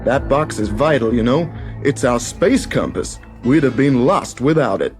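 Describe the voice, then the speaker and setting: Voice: mid-range voice, lively intonation, measured pace, music in the background, natural voice. A storyteller voicing a hearty, grateful male spaceship captain in a children's story.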